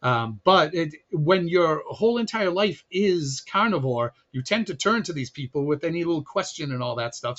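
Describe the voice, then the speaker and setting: A man's voice: a little high, fairly bright tone. A man from Chicago chatting as a podcast guest, talking freely with many restarts and laughs. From Chicago, USA. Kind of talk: casual conversation. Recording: dull lo-fi audio.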